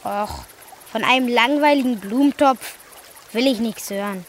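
A woman's voice: on the high side, moderate pace, a little hiss or hum, lightly acted. A female voice playing a cheeky, eager and precocious young boy in a radio drama.

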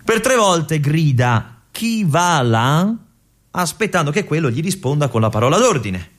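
Un tenente tormentato in una fortezza. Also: male voice, a little high, big pitch swings, measured pace. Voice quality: intense, hushed delivery with whispered phrases. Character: brooding male army lieutenant at a remote fortress. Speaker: stage actor. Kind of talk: theatrical monologue.

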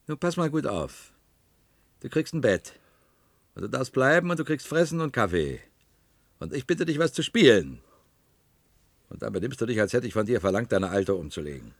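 Gravelly, mocking, coarse householder. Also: male voice, mid-range voice, sing-song, normal speed, pauses often, no room sound. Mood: indignant, insulting. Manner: needling, colloquial, blustering. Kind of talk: German radio play acting.

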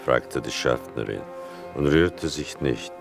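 Very deep, creaky-voiced male narrator. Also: lively intonation, over music. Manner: measured, wry, deliberate. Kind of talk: literary scripted narration.

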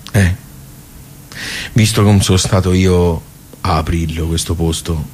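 A man's voice: deep voice, darkish tone, a little hiss or hum. An actor playing a bitter, resigned 78-year-old working-class man with a Tuscan accent, rambling colloquially in a radio play. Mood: melancholy, resolute. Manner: rambling, colloquial, swearing, world-weary. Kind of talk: radio theatre monologue, colloquial.

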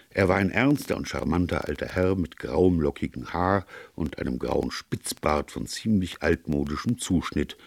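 A man narrating literary prose from a script in a measured, formal manner. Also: sits low, gravelly, a little mellow, no room sound, clean studio sound.

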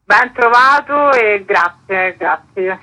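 A woman's voice: medium pitch, expressive, slow, sharp tone, low-quality recording. A woman interviewed on radio as an artistic director, explaining an event in an enthusiastic, wordy way with emphatic repetition, over a telephone.

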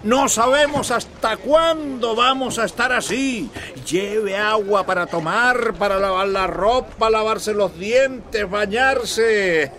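An actor playing a jovial, grasping farmer who peddles water, in a radio soap opera. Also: male voice, high voice, slow, hardly any pauses, pushed and bright, slight background noise, exaggerated voice. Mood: jovial hawking. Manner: boisterous hawker with frequent hearty laughter. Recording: street bustle with crowd and vehicles.

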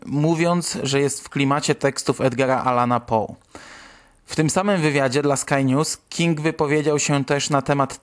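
A man hosting and reading scripted narration. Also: mid-range voice. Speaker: host.

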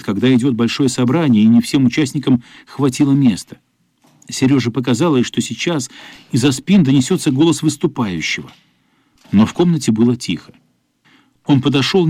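Somber man narrating, slightly dark voice. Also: medium pitch, no room sound. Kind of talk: dramatic literary narration for radio play. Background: faint music.

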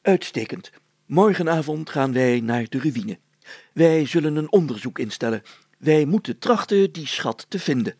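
Storybook mayor, played by a male reader: pompous and solemn, pitched up, with a gravelly edge. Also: animated, unhurried, a little mellow, no room sound, full character voice. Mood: eager, commanding. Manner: solemn, declamatory, grandly formal. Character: pompous, proud village mayor, secretly a poet. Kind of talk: acted storybook dialogue.

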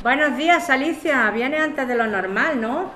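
A woman's voice: high voice, lively intonation, unhurried, a bit bright, faint music behind. A woman with an accent from Spain acting an eager, concerned amateur sleuth in radio soap dialogue. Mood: friendly teasing. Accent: Spanish.

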